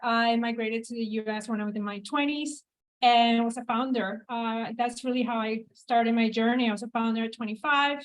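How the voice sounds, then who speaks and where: Higher-voiced woman from Venezuela. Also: steady tone, moderate pace, poor audio. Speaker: panelist, founder. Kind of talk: panel discussion. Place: event space with a live audience.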